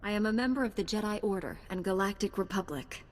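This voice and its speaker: A woman's voice: medium pitch, expressive, quick pace, lightly acted. A woman playing a composed and direct knightly heroine in a video game, with controlled delivery. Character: composed, blunt young female Jedi knight. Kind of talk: game character dialogue.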